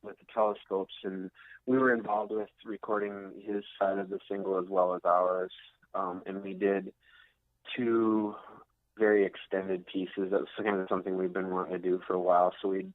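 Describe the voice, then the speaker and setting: Low-voiced man on a tinny phone-like line. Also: level tone, moderate pace, dry recording. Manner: hesitant, unassuming. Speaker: rock guitarist being interviewed. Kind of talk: casual interview chat.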